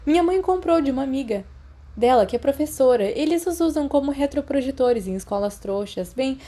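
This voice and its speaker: Teenage schoolgirl for audiobook fiction, played by a woman: eager, insistent, warm-hearted, pitched up. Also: expressive, a little fast. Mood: brisk, eager. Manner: emphatic, eager. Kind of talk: fan fiction audiobook character voice.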